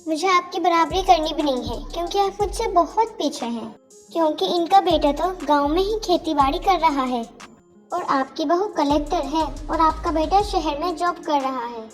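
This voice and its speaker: A woman's voice: high-pitched, normal speed, close to an everyday voice. A woman voicing a kind, proud young daughter-in-law in a Hindi moral-story cartoon, with music behind her. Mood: proud, defiant.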